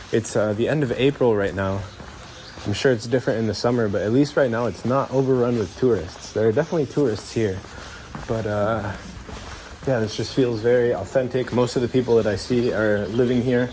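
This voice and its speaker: A man's voice: medium pitch, darkish tone, a little hiss or hum. Easygoing male travel vlogger outdoors. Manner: chatty, easygoing, many fillers. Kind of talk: casual talk to camera while walking. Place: outdoors in old town streets.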